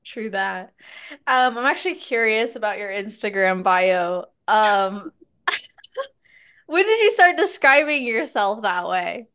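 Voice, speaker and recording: A woman hosting a podcast interview, bubbly and quick to laugh, chatting casually. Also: mid-range voice, lively intonation, a bit bright, smooth. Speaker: podcast host.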